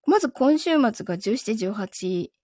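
A woman's voice: mid-range voice, very animated, unhurried, warm tone, no room sound, clean studio sound. A woman chatting casually on a live stream as its host, often laughing. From Yokohama area, Japan. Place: indoors in a sound-absorbing foam-lined booth.

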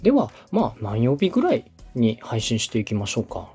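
A man's voice: mid-range voice, wildly swooping pitch, brisk, dark tone, breathy edge, soft background music. A man from Osaka speaking as a podcast host and radio director, coaching conversationally in a polite, explanatory, step-by-step way. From Osaka, Japan.

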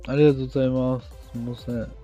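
A man's voice: medium pitch, flat delivery, rapid-fire, dark tone, dull audio. A middle-aged man with a Kansai accent, chatting casually in a blunt, matter-of-fact way as a guest on a live talk show. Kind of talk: casual chat. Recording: background music under the voice.

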